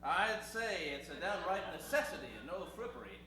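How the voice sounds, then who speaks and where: Folksy radio-ad sidekick, played by a man: gushing, hammy, high bright voice, hum behind. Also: expressive, a little fast, creaky, heavily acted. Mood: admiring. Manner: exaggerated admiration, hammy. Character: folksy, admiring pitchman friend Dwight. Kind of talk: old-time radio ad skit. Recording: very echoey room, noisy hum.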